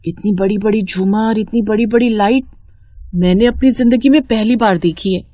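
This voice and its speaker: A woman's voice: mid-range voice, normal speed, mellow, no echo, close to an everyday voice. A woman voicing a good-natured, joking young new bride, chatty and obliging, in a story. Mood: awed.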